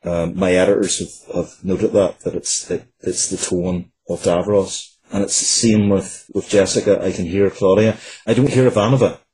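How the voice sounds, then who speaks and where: A man with a markedly husky, breathy voice on the low side chats in an interview. He comes across as earnest and emphatic, circling back to repeat his phrases as he explains himself. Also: steady tone, normal speed, few pauses, dark tone, low-quality recording. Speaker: writer and interview guest. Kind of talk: casual interview talk.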